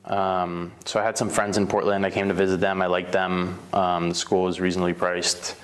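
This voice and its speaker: Low-voiced relaxed man, rambling. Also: normal speed. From Slovenia. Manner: relaxed, rambling with fillers and self-corrections. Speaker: bakery owner, interviewee. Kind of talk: casual oral history interview.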